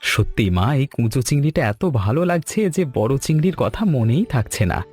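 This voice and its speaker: A man's voice: mid-range voice, sing-song, moderate pace, a little mellow, slightly rough, faint music behind. A man voicing a cheerful, appreciative family man in a cartoon story. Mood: pleased. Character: cheerful, appreciative family man visiting his village home. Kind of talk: cartoon story dialogue in Bengali.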